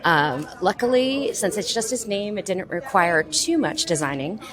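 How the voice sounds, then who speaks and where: A woman's voice: medium pitch, animated, moderate pace, music in the background. A woman answering interview questions conversationally, as a vendor and writer at a convention.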